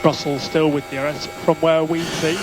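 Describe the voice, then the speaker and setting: A man's voice: fairly high pitch, flat delivery. Clipped male race engineer on noisy radio. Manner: clipped, measured, repeats instructions. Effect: team radio. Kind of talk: instructional radio messages to a racing driver. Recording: noisy motor racing team radio.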